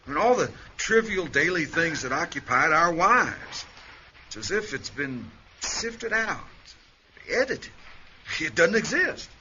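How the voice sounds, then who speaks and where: A man's voice: a little high, sing-song, unhurried, pushed and bright, dull audio, a little hiss or hum, heavily acted. A man playing a suspicious, uneasy astronaut in a radio play, clipped and insistent.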